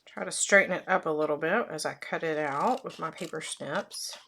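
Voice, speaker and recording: A woman with a low, hoarse voice that is struggling to hold up talks through a card-making tutorial at her desk. Her delivery is chatty and loose, with lots of fillers, and a faint hiss sits under her voice. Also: moderate pace, fairly bright tone. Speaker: craft demonstrator.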